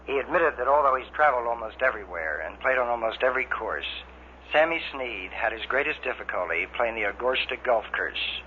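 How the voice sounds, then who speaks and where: A man's voice: medium pitch, expressive, a little fast. A man reading news reports in a scripted vintage radio style. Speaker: radio newsreader. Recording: tinny old radio recording with hiss.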